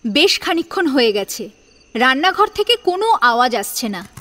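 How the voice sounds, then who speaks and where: A woman's voice: high-pitched, very animated, normal speed, fairly bright tone. A woman narrating a dramatised family story from a script.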